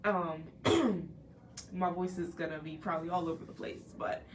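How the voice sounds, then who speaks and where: A woman's voice: on the low side, fairly even intonation, moderate pace, slight background noise. Congested young woman chatting, a bit distant. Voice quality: nasal. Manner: chatty, restarts often, earnest. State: congested from a cold. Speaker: host. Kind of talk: casual talk to a live audience. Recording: a little distant from the mic, faint background ambience.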